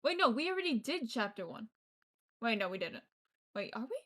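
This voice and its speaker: A woman's voice: a little high, very animated, brisk, a bit bright. A woman game streamer talking casually to her chat, rambling with frequent ums and the odd swear word. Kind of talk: casual live-stream chat while playing.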